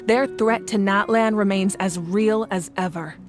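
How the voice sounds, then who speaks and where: Woman voicing a solemn calm leader lady in a video game, slow serious delivery, loud-ish music behind. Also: mid-range voice, lightly acted. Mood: grave, resolute. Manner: measured, grave, deliberate. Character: solemn, composed woman war-god ruler of a nation. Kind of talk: video game voice acting, dramatic exposition.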